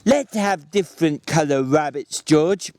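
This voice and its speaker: A man voicing a big, slow-witted, childlike fellow who talks eagerly and haltingly in a dramatic storybook reading. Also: on the high side, animated, a little mellow, no room sound, pitched above the performer's own voice. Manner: halting, stammering, repeating words.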